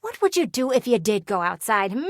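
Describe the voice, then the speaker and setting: An actress voicing a playful, sardonic living window in a video game, with a teasing, theatrical and blunt delivery. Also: female voice, on the high side, very animated, dry recording, clean studio sound. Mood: teasing, bossy. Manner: teasing, theatrical, blunt, sighs and drawn-out words. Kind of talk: video game character voice acting.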